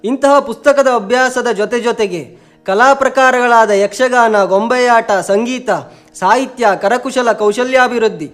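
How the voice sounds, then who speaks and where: A man's voice: very high voice, a little slow, a bit bright. A man voicing an agreeable, hopeful puppet friend, speaking formal scripted lines in a declamatory way. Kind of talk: scripted puppet-show dialogue.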